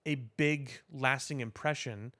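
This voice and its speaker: A man with a medium-pitched voice speaks as a podcast co-host in casual discussion. He lays out his points deliberately, pausing and restarting as he explains. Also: a bit bright. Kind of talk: casual pop culture discussion.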